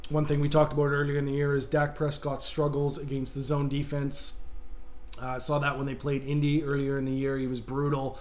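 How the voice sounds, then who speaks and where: A man hosting a sports betting show, talking through matchups in a casual way, with lots of "uh" fillers, while fighting a cold and losing his voice. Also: mid-range voice. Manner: even-toned, frequent "uh" fillers.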